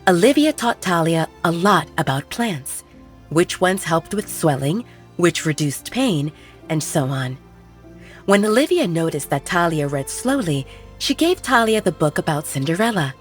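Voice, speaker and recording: Woman audiobook narrator, lowish voice, calm and even, soft music behind. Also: unhurried. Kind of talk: scripted story narration.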